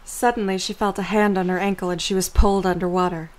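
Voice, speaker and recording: A woman's voice: mid-range voice, fairly even intonation, fast, darkish tone. A woman narrator reading scripted story narration for an amateur audio drama.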